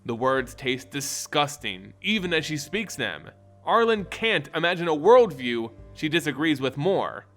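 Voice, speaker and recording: A man reading fantasy fiction aloud in a measured, dramatic storytelling manner. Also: a little high, big pitch swings, fairly bright tone. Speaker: narrator. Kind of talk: dramatic fiction audiobook narration. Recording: music behind the voice.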